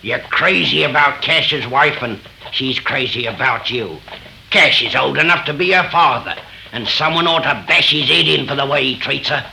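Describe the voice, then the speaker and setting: A man's voice: medium pitch, normal speed, creaky, sharp tone. A man playing a blunt, prejudiced frontier Westerner with folksy slang in a 1930s radio drama. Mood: blunt, warning. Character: blunt, bigoted 1850s California frontier man. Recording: noisy 1930s radio recording.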